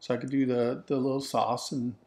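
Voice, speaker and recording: A man's voice: mid-range voice, little pitch movement, fairly quick, gravelly, darkish tone. Easygoing man in his forties, rambly jokey home vlog talk. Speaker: vlog host. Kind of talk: casual chat. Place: living room, on a couch. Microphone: camera in front.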